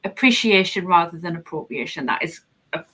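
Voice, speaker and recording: A woman's voice: on the low side, expressive, brisk, sharp tone, husky. Chatty woman hosting a live podcast. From Scotland. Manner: chatty, laughs often, frequent restarts. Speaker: podcast host. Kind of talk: casual live-stream chat, reading out viewer comments.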